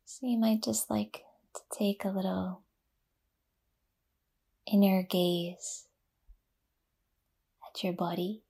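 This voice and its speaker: A woman leading a guided yoga nidra meditation in a soft, breathy half-whisper, gently and with long pauses. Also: mid-range voice, steady tone.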